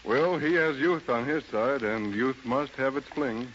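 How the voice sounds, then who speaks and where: A man's voice: mid-range voice, a little slow, fairly bright tone, natural voice. An actor playing a suave, worldly, sophisticated man about town in 1930s radio drama style. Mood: wounded, cautioning. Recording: dull, noisy 1930s radio recording.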